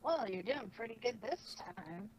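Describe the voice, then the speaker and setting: Woman playing a cheeky little boy dragon, high-pitched. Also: animated, a little slow. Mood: curious, approving. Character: small young male dragon, cheeky, bashful sidekick. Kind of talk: amateur cartoon fan fiction reading. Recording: faint background noise.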